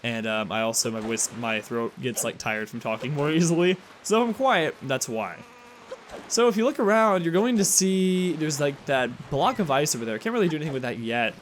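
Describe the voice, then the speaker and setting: A tired man with a slightly raspy voice and occasional cracks, chatting casually as he comments on a video game he plays. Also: on the high side, expressive, a little hiss or hum. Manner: rambling, chatty, quick asides and chuckles. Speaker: let's play host. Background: game music.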